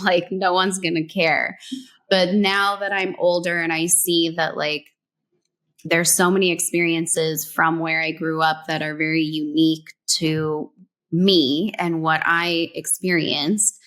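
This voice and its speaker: Brief: woman from New Mexico, interview guest, low voice, unhurried, relaxed talk full of "like". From New Mexico, USA. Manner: relaxed, conversational, many "like"s and "um"s. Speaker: guest, brand story strategist. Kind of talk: casual interview chat, giving advice.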